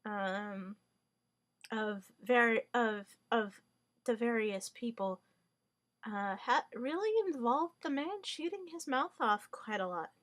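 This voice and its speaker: Wry, hesitant woman, slow halting delivery. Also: a little high, fairly bright tone. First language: Hungarian. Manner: hesitant, many fillers and restarts; wry, mock-formal wording. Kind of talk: rambling monologue.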